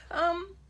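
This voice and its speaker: A woman in her thirties with a German accent, chatting casually to camera and laughing at herself often. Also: fairly bright tone. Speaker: craft channel host.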